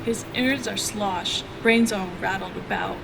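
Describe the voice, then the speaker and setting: A woman's voice: medium pitch, measured pace, creaky, noisy background. A woman playing a worldly, roguish seafaring healer in a fantasy drama, teasing, folksy and sure of herself. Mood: matter-of-fact. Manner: teasing, folksy, self-assured. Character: wry, cocky seafaring female healer, worldly rogue. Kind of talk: scripted fantasy audio drama dialogue.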